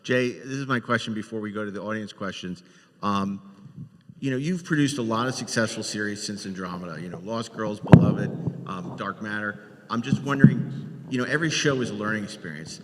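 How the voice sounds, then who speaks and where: A man moderating a live panel, brisk and directive as he runs questions. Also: on the low side, a little hiss or hum. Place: large hall at a live convention panel. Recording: echoey hall with long reverb.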